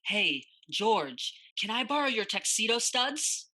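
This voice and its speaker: A woman playing a breezy, cheeky younger brother in a radio play, brisk and teasing. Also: fairly high pitch, sing-song, bright, forceful tone, full character voice. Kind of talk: old-time radio play acting.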